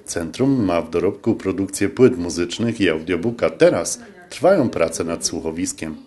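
A man's voice: low voice, lively intonation, normal speed, a little mellow. A man reading scripted narration for a local news report.

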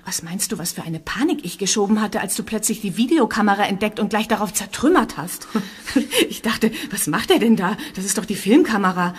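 An actress playing an earnest young acting student who explains things eagerly in a radio play. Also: female voice, mid-range voice, lively intonation, fairly quick, husky.

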